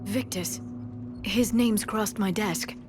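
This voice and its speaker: A woman playing a calm, learned alien intelligence expert in a video game, speaking in a measured, articulate way. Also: medium pitch, close to an everyday voice. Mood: calm, informed. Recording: noisy battlefield ambience behind voice.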